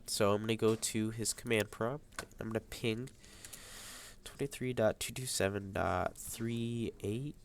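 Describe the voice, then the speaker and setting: Young man, congested nasal sound, slightly low voice, halting student tutorial delivery. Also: steady tone, a little hiss or hum. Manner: hesitant, many ums and uhs. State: congested, stuffy nose. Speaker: high school student. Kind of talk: screen-recorded tutorial. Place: at a computer, voice over screen capture.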